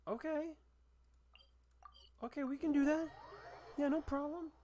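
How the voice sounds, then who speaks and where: A woman's voice: sits high, steady tone, a little slow, mellow, a little hiss or hum. A young adult woman commenting casually over a game she is playing, blunt, swearing now and then and thinking aloud. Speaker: gamer playing along.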